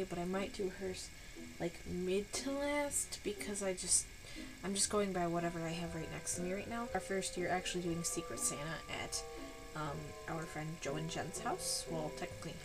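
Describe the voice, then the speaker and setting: A woman's voice: lowish voice, poor audio. A woman in her twenties from Buffalo, New York, chatting in a rambling, apologetic way in a home haul vlog, sounding nasal, tired and a bit sick. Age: 20s. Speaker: vlogger. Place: dark room at home.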